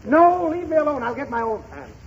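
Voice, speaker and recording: A man's voice: very high voice, huge pitch swings, a little fast, exaggerated voice. A man playing an exasperated, blustering father in a 1930s radio comedy. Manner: irritable, blustering. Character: exasperated, flustered father, a pompous lawyer. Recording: muffled, hissy 1930s radio recording.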